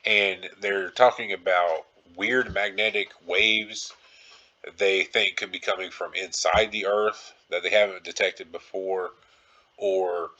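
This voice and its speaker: A man's voice: on the low side, bright, forceful tone, low-quality recording. A man hosting a podcast solo in a casual, rambling style, informal and circling back to repeat his phrases. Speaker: podcast host.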